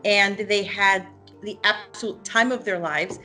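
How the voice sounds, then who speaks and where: Hungarian-born mother with soft music behind. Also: mid-range voice, steady tone, measured pace. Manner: hesitant, many ums and restarts. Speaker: parent giving a testimonial. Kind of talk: unscripted testimonial.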